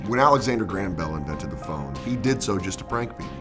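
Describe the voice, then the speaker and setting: Man, deep pitch, deadpan comedy expert, documentary interview, music behind. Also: quick pace. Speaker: comedian talking head.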